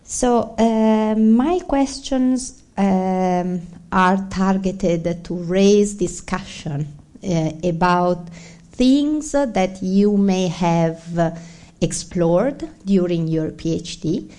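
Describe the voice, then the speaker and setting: A woman's voice: medium pitch, very slow, warm tone. A woman with an Italian accent, a native Italian speaker, carefully asking a doctoral candidate questions as the opponent at a thesis defense. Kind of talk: academic questioning at a PhD defense.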